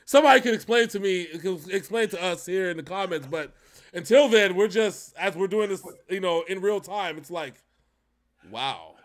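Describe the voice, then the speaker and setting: A man hosting a music reaction show, giving casual, excited, jokey commentary that rambles and repeats phrases. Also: high voice, moderate pace.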